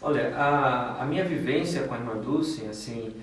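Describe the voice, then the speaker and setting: Priest guy being interviewed, halting, echoey room, bit of noise. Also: mid-range voice. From Salvador, Bahia, Brazil. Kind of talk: spontaneous reflective interview talk. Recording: echoey room, distant mic, faint noise.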